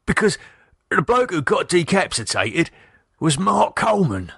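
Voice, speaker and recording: A man voicing an anxious working-class husband, speaking in nervous, halting, pleading bursts. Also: a little high, big pitch swings, vocal fry, sharp tone, breathy edge, dry recording, studio quality, voice pushed up, heavily acted. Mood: worried.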